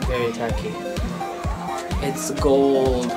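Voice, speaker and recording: A man in his thirties narrates a casual vlog tour, chatty and openly amazed by what he sees. He talks into a handheld camera mic, with loud music booming all around him. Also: slow. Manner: excited, chatty, wide-eyed commentary. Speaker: travel vlogger. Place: busy station and neon-lit venue, handheld.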